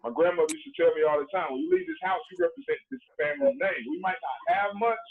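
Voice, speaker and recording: A man's voice: extremely high pitch, quick pace, creaky. Man on a tinny call line. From Dublin, Georgia, USA. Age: late 30s. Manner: relaxed, earnest, many "you know" fillers. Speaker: guest, former basketball player. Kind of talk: casual storytelling conversation. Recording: tinny phone-like call line with faint noise.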